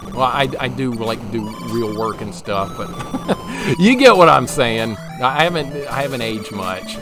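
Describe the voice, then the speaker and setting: A man's voice: medium pitch, low-quality recording. A man in his forties chatting casually with his viewers while playing a retro game, laughing easily and stumbling over repeated words. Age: 40s. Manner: chatty, self-deprecating, quick laughs, repeats words when excited. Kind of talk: casual live-stream chat while playing. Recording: game music playing underneath. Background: game music.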